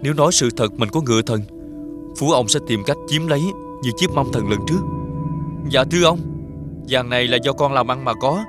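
A man playing a poor, polite young suitor in an animated fairy tale, deferential and respectful. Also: medium pitch, fairly quick, natural voice. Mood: deferential, careful. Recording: clear music behind the voice.

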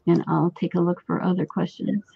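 A woman's voice: lowish voice, flat delivery, fairly quick, vocal fry, husky, no room sound. A woman moderating a question session over a video call, friendly and organized. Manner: friendly, organized, a few restarts. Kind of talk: moderating online Q&A. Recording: dry dull video call audio.

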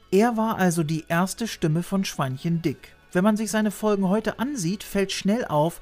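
A man's voice: sits high, darkish tone. A man hosting a retro video show in a room full of collectibles, joking about himself and reading his facts in a casual way. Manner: jokey, self-mocking. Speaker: host. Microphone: studio mic on arm.

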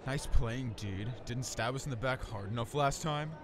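A man's voice: medium pitch, normal speed, natural voice. A man playing a snide, bored teenage teammate in a comedic anime parody dub. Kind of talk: comedic fan-dub voice acting.

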